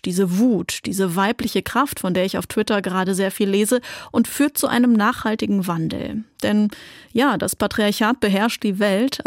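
A woman's voice: medium pitch, no room sound, studio quality. A woman hosting a news podcast, narrating from a script and asking her guests questions. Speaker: podcast host.

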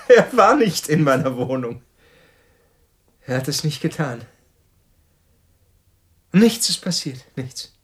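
An actor playing an agitated male scientist in a radio drama, halting and intense, repeating himself. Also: on the high side, sing-song. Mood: hysterical relief. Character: Peter, agitated male scientist shaken by a death threat. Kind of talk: German radio drama acting.

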